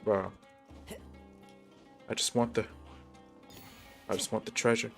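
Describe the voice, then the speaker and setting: A man's voice: on the low side, flat delivery, slow pace, gravelly, soft background music. A man livestreaming a game with casual, slangy, laid-back commentary. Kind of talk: casual reactive gameplay commentary.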